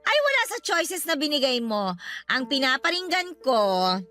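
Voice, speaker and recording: A woman's voice: high-pitched, big pitch swings, moderate pace, sharp tone, faint music behind, full character voice. A woman playing a resentful older mother in a Tagalog radio drama, talking in a sarcastic, needling way. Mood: mock complaining.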